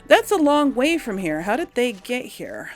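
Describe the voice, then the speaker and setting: A woman's voice: fairly high pitch, very animated, normal speed, soft background music. A woman hosting a gaming channel, giving casual role-play commentary as she plays.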